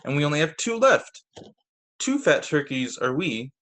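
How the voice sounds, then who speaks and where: A man teaching young children through a read-aloud storytime on a video call, with expressive, deliberate phrasing. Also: fairly high pitch, slight background noise. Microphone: video call microphone.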